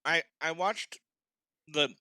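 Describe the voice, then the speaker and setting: A young man from Boston talks casually as a podcast guest. His voice is very bright and crisp, with a dry, close sound. He laughs often and restarts his sentences. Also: a little high, takes it slowly, dry recording. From Boston, Massachusetts. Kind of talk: casual chat.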